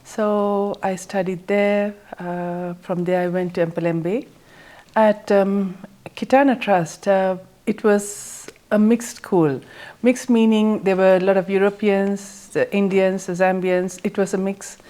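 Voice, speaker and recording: A woman's voice: medium pitch, steady tone, slow, dark tone. Reflective Sri Lanka-born woman guest. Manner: plain, reflective storytelling with hesitations. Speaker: teacher and school owner, guest. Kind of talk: interview, recounting her life.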